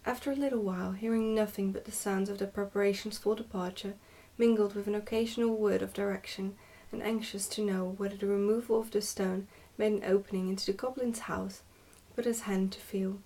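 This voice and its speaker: A woman reading a storybook aloud in a soft, careful, partly whispered ASMR style. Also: mid-range voice, fairly even intonation, brisk, hardly any pauses, recorded from a distance.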